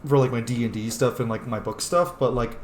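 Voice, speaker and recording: A man's voice: medium pitch, fairly even intonation, brisk, a little hiss or hum. A man giving casual let's play commentary as a streamer, with rambling asides and playful exclamations at the game's characters. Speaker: gaming streamer and content creator. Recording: roomy echo under loud game music. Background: video game music.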